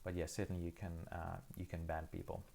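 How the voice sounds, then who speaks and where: A man's voice: deep voice, flat delivery, fast talker, vocal fry, a little mellow. A middle-aged man from South Africa, whose first language is Afrikaans, hosting a casual live Q&A from his phone and answering viewer questions thoughtfully, with many fillers and restarts.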